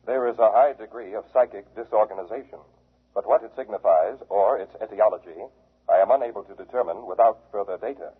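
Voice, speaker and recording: Husky robot psych examiner. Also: male voice, fairly low pitch. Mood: clinical. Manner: formal, clinical, measured delivery. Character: cold, pedantic robot psychological testing machine. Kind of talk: 1950s radio drama acting. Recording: muffled, phone-like old radio broadcast.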